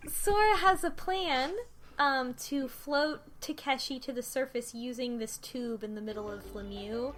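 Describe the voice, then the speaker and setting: A woman's voice: high voice, measured pace, fairly bright tone. A woman co-hosting a casual chat, recapping a story with lots of ums and restarts. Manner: rambling, many ums and restarts. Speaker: podcast co-host.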